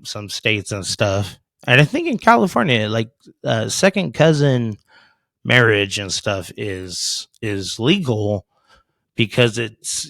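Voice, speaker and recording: A man's voice: lowish voice, no echo, clean studio sound. A man in his thirties on a casual podcast, talking bluntly and cursing freely. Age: 30s. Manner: blunt, profane and animated. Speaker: podcast guest.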